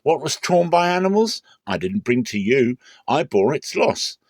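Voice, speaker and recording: Man playing wronged herdsman, indignant, raised high voice, protesting, declamatory, dramatic scripture reading. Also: sing-song, normal speed, a little mellow, dry recording. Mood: indignant, aggrieved. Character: aggrieved, hard-working herdsman, indignant son-in-law.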